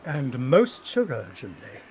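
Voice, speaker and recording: A man's voice: medium pitch, huge pitch swings, slow pace, a little mellow, a little hiss or hum, poor audio. A man playing a witty, scornful lord in a Shakespearean audio play, teasing and sneering. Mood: sneering banter.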